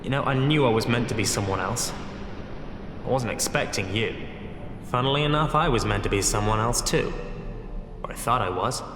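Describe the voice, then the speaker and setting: A man's voice: medium pitch, moderate pace, pauses often, background noise, close to an everyday voice. A man playing a wry, chatty time-travelling hero in a fan audio drama, sardonic and self-mocking. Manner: sardonic, quippy. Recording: echoey hall reverb, faint music.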